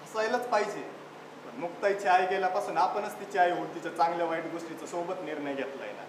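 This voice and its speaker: A man acts an earnest young uncle in a stage drama, stubborn and argumentative. His voice is high and projected, picked up from afar with echo and clear noise. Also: fast, lightly acted. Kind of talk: stage play dialogue in Marathi. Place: indoor stage at a youth festival. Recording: echoey stage from far off, noisy.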